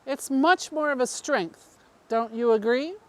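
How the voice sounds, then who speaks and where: A woman voicing a secretive, persuasive male politician in an audiobook, with measured, rhetorical phrasing. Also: sits high, low-quality recording. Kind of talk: audiobook character dialogue.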